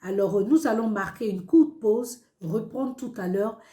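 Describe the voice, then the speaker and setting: Warm-toned woman hosting in an echoey studio. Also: medium pitch, a little slow. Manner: affirming, with frequent amens. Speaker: show host.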